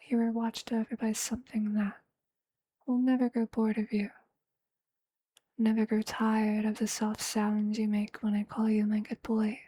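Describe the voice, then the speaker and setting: Someone acting out a breathy, whispering, obsessive vampire lover. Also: mid-range voice, dark tone. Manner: slow, intimate, soothing, formal poetic phrasing. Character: obsessive, tender, possessive vampire lover, motherly and romantic. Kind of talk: whispered ASMR roleplay.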